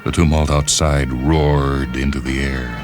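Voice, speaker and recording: An extremely deep-voiced man reads literary fiction as a radio narrator. His delivery is measured and solemn, with orchestral music clearly playing behind him. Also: little pitch movement.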